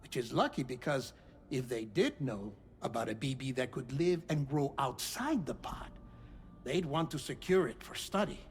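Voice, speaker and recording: An actor playing an earnest, gentle middle-aged scientist in a cinematic video game, speaking in a deliberate, formal, explanatory way. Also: male voice, mid-range voice, lively intonation, slight background noise, natural voice. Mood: reassuring. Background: music.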